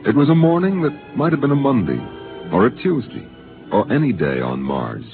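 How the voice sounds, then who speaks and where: A man narrating a 1950s radio drama in a measured, solemn way; a tinny old broadcast over music. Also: mid-range voice, very animated, gravelly.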